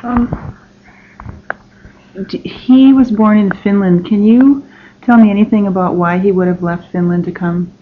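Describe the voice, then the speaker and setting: A woman's voice: mid-range voice, steady tone, unhurried, long pauses. Woman interviewer on a tinny line. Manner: brief, plain questions. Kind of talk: oral history interview questions. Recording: thin phone-like sound with faint hiss.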